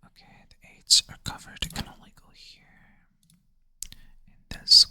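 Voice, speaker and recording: ASMR whisperer, sleepy and self-deprecating, bright airy close-mic whisper. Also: a little slow. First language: Swedish. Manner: soft whisper, thinking aloud, self-deprecating. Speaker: ASMR creator. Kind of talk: whispered ASMR puzzle play-through.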